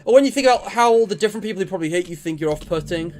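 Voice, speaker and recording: A man's voice: high voice, expressive, racing, background noise. A man reading aloud the lines of a caring young female game character, in his plain read-aloud voice. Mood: reassuring. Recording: game music behind.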